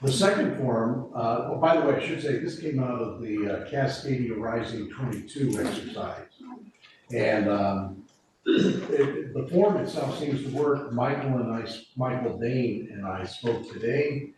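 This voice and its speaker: A man's voice: medium pitch, a little slow, darkish tone. A man explaining radio procedures to a committee meeting, rambling and restarting as he goes. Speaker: emergency preparedness volunteer. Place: meeting room. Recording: echoey room from far off, faint hiss.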